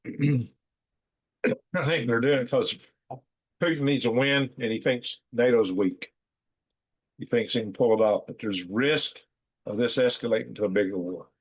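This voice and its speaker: A hoarse man hosting a casual livestream, rambling folksily with fillers and restarts while reading out viewer comments. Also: mid-range voice, low-quality recording. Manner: reads chat names aloud and answers viewers. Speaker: livestream host.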